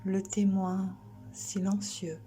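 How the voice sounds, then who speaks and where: A woman leads a guided sleep meditation in a soft, breathy, near-whispered voice. Her tone is dark and mellow, and her delivery is very unhurried and flat, with long soothing pauses. Gentle music plays behind her. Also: medium pitch. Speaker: yoga nidra instructor.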